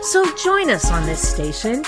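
A woman reads a scripted radio promo as a show host. Her delivery is animated, with a wide swing in pitch, and prominent music plays behind her. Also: measured pace.

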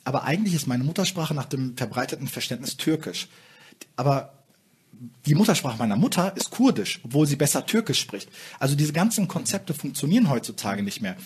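A man's voice: medium pitch, sing-song, a little fast, a little mellow, breathy edge. A man speaking as a panel guest and author in a talk show discussion, earnest and reflective, with hesitant restarts. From Germany.

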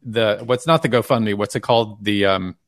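A man's voice: lowish voice, moderate pace, dry recording, studio quality. A man hosting a faith podcast who speaks in an affirming, thankful way to his guests. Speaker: podcast host. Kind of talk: interview.

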